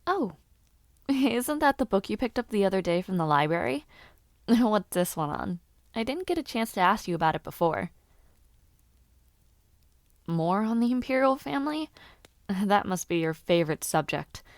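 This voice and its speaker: A woman's voice: medium pitch, very animated, moderate pace, long pauses, no echo, studio quality. A woman voicing a chatty young noblewoman who loves gossip, talking casually and teasingly with frequent laughs. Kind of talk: audio roleplay addressed to the listener.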